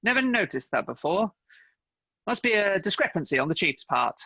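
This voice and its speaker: A man acting a self-important, bumbling private detective in a comedy radio serial, with a pompous, deadpan delivery. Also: high-pitched, normal speed, husky, no echo, exaggerated voice. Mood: official.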